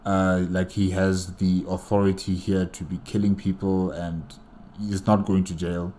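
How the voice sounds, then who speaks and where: A man hosts a casual reaction video. His voice is fairly low and his pace is slow. He comments off the cuff in a reflective, halting way. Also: little pitch movement, mellow.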